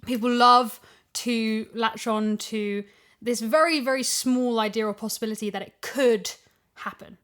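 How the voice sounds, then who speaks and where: A woman's voice: a little high, steady tone, a little slow, fairly bright tone. A woman chatting casually as a guest on a podcast recorded in a house. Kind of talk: casual chat.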